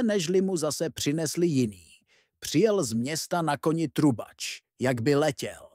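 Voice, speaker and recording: A man's voice: fairly high pitch, a little mellow, no echo, clean studio sound. A man narrating a fairy tale as a scripted storyteller.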